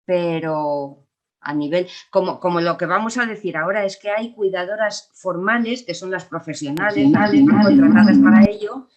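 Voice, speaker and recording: A woman's voice: lowish voice, normal speed, darkish tone, dull audio. A woman with a Castilian Spanish accent leads an informal talk, explaining and taking questions. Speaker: speaker leading the talk.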